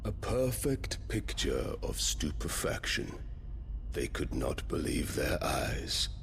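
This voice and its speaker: An actor playing a smug, imposing warlord in a video game, gravelly and formal, deliberate and taunting. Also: male voice, extremely low pitch, sing-song, slight background noise, heavily acted. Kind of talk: video game cutscene acting. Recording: loud music under the voice.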